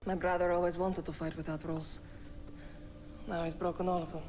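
A woman's voice: lowish voice, flat delivery, fairly quick, very noisy, natural voice. Fierce female rebel commander, Farah, played by an actress. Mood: bitter. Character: fierce, resolute female rebel commander betrayed by her brother. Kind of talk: dramatic video game acting. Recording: game music behind dialogue.

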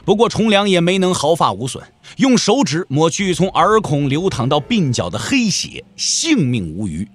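A man delivers dramatic scripted narration for a wuxia audio drama. His voice sits a little high and moves widely in pitch as he tells the story. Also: very animated, normal speed, hardly any pauses, husky, no echo.

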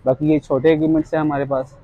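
A young man giving a casual, polite sales pitch, quoting products and prices as a shop salesman. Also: level tone, fast talker, dry recording, muffled recording. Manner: polite, addresses customer as sir. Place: small tiled fitness equipment shop, indoors.

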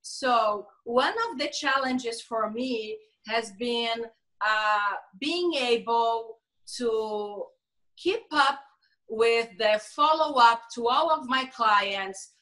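A woman with a Brazilian Portuguese accent, a lawyer and coach, speaking carefully in an interview, with many pauses and fillers. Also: fairly high pitch, sharp tone, poor audio. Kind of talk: interview over video call.

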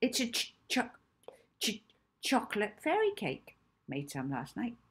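A woman's voice: mid-range voice, wildly swooping pitch, a little slow, slightly rough, pitched above the performer's own voice, exaggerated voice. A woman voicing a plump, kindly, affectionate female carer who stammers, in a children's storybook reading. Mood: cosy, chatty. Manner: stammering, warm and fussing.

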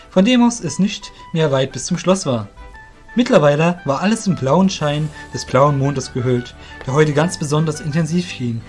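A man narrating a fantasy audio drama from a script as the storyteller. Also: a little high. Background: faint music.

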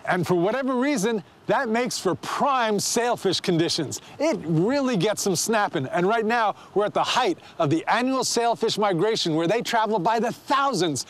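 A man's voice: high voice, animated, normal speed, few pauses. A man presenting the introduction to a television fishing show. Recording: music under the voice.

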